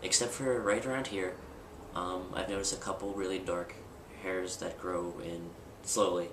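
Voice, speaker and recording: Young man, deep voice, casual candid vlog, far from the mic, noisy background. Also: steady tone, normal speed. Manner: candid, rambling with fillers and restarts. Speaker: vlogger. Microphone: camera mic at a distance. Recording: roomy, distant, with loud background noise.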